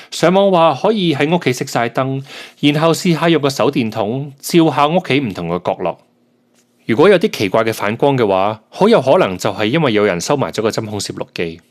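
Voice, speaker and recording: A man's voice: mid-range voice, lightly acted. A man playing a suspicious tenant who narrates his own investigation in a Cantonese radio drama, with a Hong Kong accent. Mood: animated. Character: suspicious male tenant nervously investigating a hidden camera.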